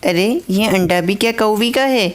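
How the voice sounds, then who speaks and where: Woman playing cartoon songbird auntie, nosy, blunt, exclaiming, raised pitch, clear tone. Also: normal speed, close to an everyday voice. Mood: nosy, curious. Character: nosy, scolding female bulbul bird.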